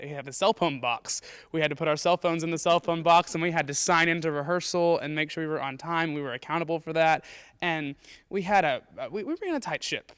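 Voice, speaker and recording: A man's voice: fairly high pitch, a bit bright, clean tone, dry recording. An earnest young man, a student actor, giving casual interview answers. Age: young adult. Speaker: high school student actor.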